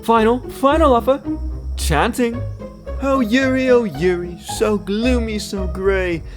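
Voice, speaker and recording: A man's voice: high voice, fairly even intonation, takes it slowly, over music. A man playing a charming, playful demon who is a wandering bard in an audio drama, theatrical, flirtatious and breezy. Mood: playful, showy.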